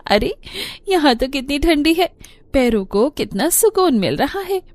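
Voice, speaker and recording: A woman's voice: high-pitched, very animated, a little slow, no echo, voice pushed up. A woman voicing an earnest young daughter-in-law from a poor village, worn by the heat, in a cartoon. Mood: delighted.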